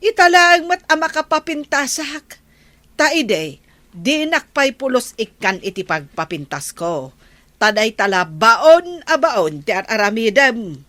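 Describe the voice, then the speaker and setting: A woman acts out a bossy, vain wife in a village comedy drama, with a northern Philippine accent. She nags in a forceful, fairly high voice, drawing out her words in a slow delivery. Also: sing-song, no echo, full character voice. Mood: nagging. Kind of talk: comedy audio drama acting.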